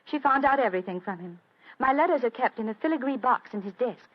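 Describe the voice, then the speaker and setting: A well-bred young British lady in a radio play, with a fairly high, girlish voice. She is forthright and coaxing, earnest yet self-assured, on a dull lo-fi recording. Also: expressive, fast talker. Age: young adult. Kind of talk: radio drama acting.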